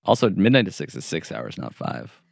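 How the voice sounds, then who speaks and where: A man co-hosting a podcast, making dry, quick comebacks in casual chat. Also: low-pitched, very animated, vocal fry, no room sound. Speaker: podcast co-host.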